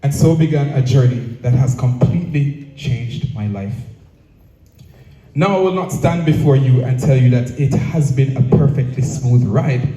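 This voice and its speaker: Male Jamaican orator, deliberate rhetorical delivery, deeply soft-edged warm tone, mid pitch, recorded in a reverberant venue. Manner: measured, rhetorical, deliberate phrasing with repetition. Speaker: association president giving a speech. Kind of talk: prepared public speech. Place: speaking at a live event, room echo. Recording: echoey venue, distant microphone.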